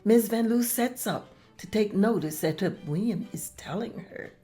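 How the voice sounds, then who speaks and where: A woman's voice: medium pitch, normal speed, warm tone, faint music behind. A woman reading stage directions aloud as the narrator of a table read.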